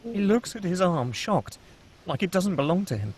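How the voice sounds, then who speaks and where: A man's voice: high-pitched, very animated, moderate pace, a little mellow, husky. A man reading screenplay action and scene headings as the narrator of a table read.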